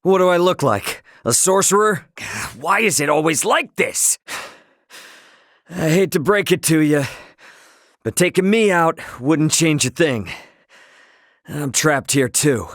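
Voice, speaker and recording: A man's voice: on the high side, animated, slow, long pauses, fairly bright tone, dry recording, clean studio sound. A man playing an easygoing, cocky young adventurer hero in a video game, speaking casually and wryly. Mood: thoughtful, wry. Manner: casual, colloquial, wry. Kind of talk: acted video game dialogue.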